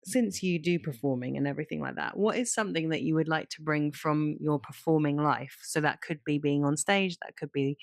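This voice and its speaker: Woman, low pitch, podcast co-host, informal, rambling, dry studio-like sound. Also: fairly quick, creaky, few pauses, no room sound. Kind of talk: casual podcast chat.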